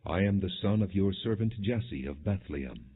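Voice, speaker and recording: A man's voice: low-pitched, animated, moderate pace, slightly rough. A man reading the words of a bold, devout young shepherd warrior in an earnest, declaiming way for an audiobook. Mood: humble. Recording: muffled, phone-like narrow band.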